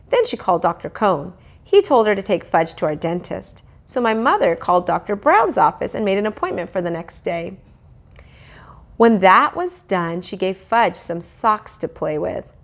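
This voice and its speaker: Lively woman reading a storybook aloud. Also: fairly low pitch. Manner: expressive, addressing children directly. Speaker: teacher reading aloud to pupils. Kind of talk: storybook read-aloud narration.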